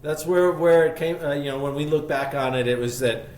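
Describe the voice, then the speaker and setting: A man's voice: on the high side, brisk, darkish tone. A man speaking as an interview guest, telling his story conversationally in a reflective, enthusiastic way. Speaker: podcast guest, shop co-founder. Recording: echoey room, faint background noise.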